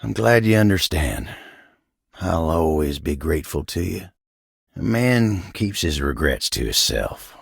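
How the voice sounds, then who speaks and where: An actor playing a folksy, tender, patient table turned human in a video game, with an American accent and a gravelly, husky drawl. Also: male voice, low-pitched, animated, measured pace, no room sound, studio quality, exaggerated voice. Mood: grateful, tender. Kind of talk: video game character dialogue.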